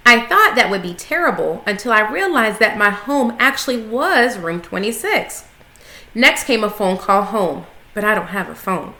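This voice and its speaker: A woman reading a children's storybook aloud with expressive, clearly phrased narration. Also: medium pitch, moderate pace. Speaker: story reader, school coach. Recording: echoey room sound.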